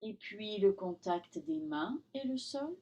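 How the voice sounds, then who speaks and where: A woman yoga teacher guiding a relaxation session in calm, instructional short phrases with long pauses. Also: medium pitch, highs cut off. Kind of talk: guided Yoga Nidra relaxation.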